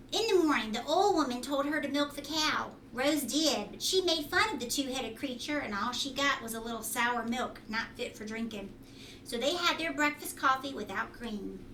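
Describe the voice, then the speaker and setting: Bright voiced lady doing a kids story read aloud, asks questions, bit echoey and far from mic. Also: high voice, lively intonation, normal speed. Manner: explanatory, checks in with listeners with questions. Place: at home indoors. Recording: roomy home recording, distant mic, faint noise.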